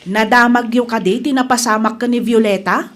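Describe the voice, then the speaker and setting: An actress with an Ilocano accent playing an inquisitive, caring village wife in a radio comedy drama. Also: female voice, a little high, steady tone, a little fast, lightly acted. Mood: curious.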